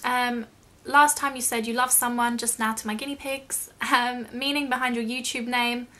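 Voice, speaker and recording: A woman's voice: fairly high pitch, level tone, normal speed, bright, forceful tone. A young British woman chatting casually in a question-and-answer vlog, rambling a little and self-deprecating. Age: young adult. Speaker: YouTube vlogger.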